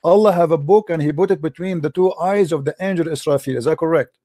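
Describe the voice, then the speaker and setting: Male debate host, higher-pitched, soft-toned yet insistent, argumentative, closely recorded. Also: fast talker, dry recording, clean studio sound. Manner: insistent, interrupting, repeats himself. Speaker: debate host on a live religious stream. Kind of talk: argumentative debate, quoting Arabic scripture.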